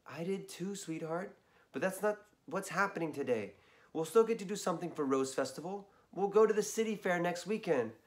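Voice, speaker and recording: A man voicing a patient, tired mother who speaks gently but firmly, a little hoarse. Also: high voice, a little fast, voice pushed up. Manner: calm, gently firm.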